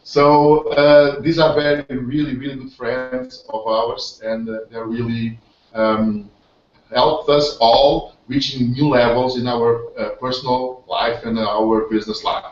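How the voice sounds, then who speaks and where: A man's voice: medium pitch, fairly even intonation, a little slow. A man with a Portuguese accent hosts a motivational online hangout in English, his second language, stopping often on fillers and restarting his sentences. He sits back from a webcam, so his voice comes through distant in a roomy space. The sound is dull and lo-fi. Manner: hesitant, many fillers and restarts. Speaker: host. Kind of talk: motivational webinar talk.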